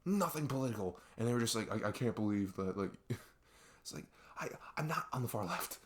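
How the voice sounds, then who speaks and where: A man playing a young best friend who confides in a halting, rambling, exasperated way in an ASMR audio roleplay. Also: fairly low pitch, animated, slight background noise, lightly acted. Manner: rambling, halting, exasperated sighs and nervous laughs. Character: young male best friend venting about arguing with parents.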